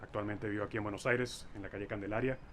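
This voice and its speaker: A man, telling his family's story as a documentary maker. He thinks aloud in a reflective way, pausing on fillers. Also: on the low side, fairly even intonation, a little hiss or hum. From Venezuela, Caracas. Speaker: filmmaker and interviewer. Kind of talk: personal documentary narration.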